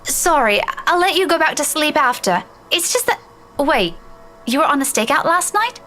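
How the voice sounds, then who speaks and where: A man doing a high, anxious Scottish young woman. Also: very animated, normal speed, sharp tone, soft background music, exaggerated voice. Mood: urgent. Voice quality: high strained falsetto.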